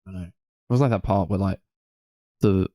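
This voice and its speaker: A man's voice: sits low, steady tone, fairly quick, warm tone, dry recording, clean studio sound. A man chatting casually about films as a podcast co-host. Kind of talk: casual film discussion.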